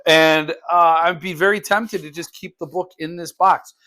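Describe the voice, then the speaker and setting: A man's voice: a little high, steady tone, moderate pace, pushed and bright. A man talking casually and unscripted as a book vlogger, thinking aloud in broken, conversational phrases. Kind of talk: casual unscripted talk to viewers.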